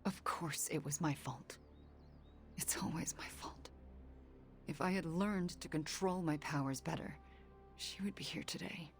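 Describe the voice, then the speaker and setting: A woman playing a fragile, self-loathing young sorceress in a video game, hushed and sighing. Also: deep voice, normal speed, faint music behind. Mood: guilty, grieving. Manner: hushed, sighing, breathy on heavy words. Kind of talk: video game voice acting, naturalistic drama.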